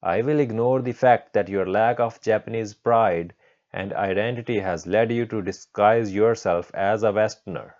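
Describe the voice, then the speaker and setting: A man voicing an overbearing, indignant woman schoolteacher who scolds at length in a shrill, wordy storytelling performance. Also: darkish tone, natural voice. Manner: scolding, verbose, shrill and exclamatory. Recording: dull, muffled lo-fi sound.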